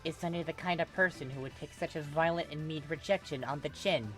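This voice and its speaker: An amateur reader voicing a worried young man who speaks gravely and with authority, wobbling slightly, in a fan fiction story. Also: medium pitch, a little fast, a bit bright, faint music behind, natural voice. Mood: grave worry.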